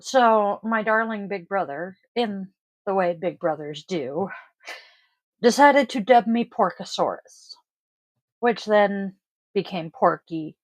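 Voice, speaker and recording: A congested, worn-out woman talks at a slow, drawn-out pace, rambling and trailing off with sighs as she hosts her tarot stream. Her voice sits at an ordinary pitch and sounds dry and close to the mic. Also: dry recording. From Washington State, US. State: congested, sniffly. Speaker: tarot reader and livestream host. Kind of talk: casual livestream chat.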